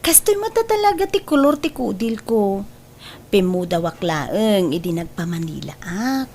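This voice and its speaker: A woman playing a coy, easily flustered young bride-to-be, hesitant and drawn-out, in an Ilocano comedy drama. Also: mid-range voice, very animated, a little mellow. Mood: defensive, explaining. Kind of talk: Ilocano radio-style comedy drama acting.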